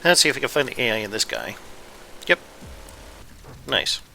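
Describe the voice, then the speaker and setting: A man talking through a strategy game in a loose, muttering way, his voice thick and phlegmy from congestion. The tone is gravelly and very bright, and a faint hiss of noise sits behind him. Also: mid-range voice, sing-song, poor audio. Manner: muttering to himself while playing, loose and unhurried. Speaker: gaming YouTuber. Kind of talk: casual gameplay commentary.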